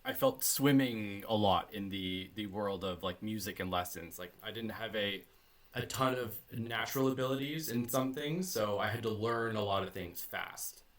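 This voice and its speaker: A Canadian man, a bassist, chatting as a podcast guest in a relaxed, rambling way. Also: normal speed. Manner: relaxed, rambling, with many fillers and restarts. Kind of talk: casual interview chat.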